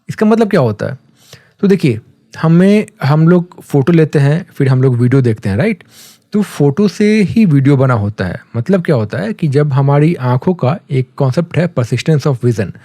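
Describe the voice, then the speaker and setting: A man with an Indian accent giving a casual, conversational software tutorial, explaining step by step and mixing in English terms. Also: medium pitch, no room sound, clean studio sound. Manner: conversational, mixes English terms, often checks in with a tag phrase.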